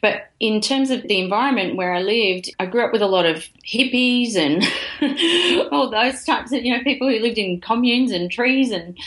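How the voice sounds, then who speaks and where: A woman's voice: mid-range voice, fairly bright tone. Aussie woman chatting on a podcast, laughs a lot, keeps restarting her sentences. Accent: Australian. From Australia. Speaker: podcast guest. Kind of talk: casual interview storytelling.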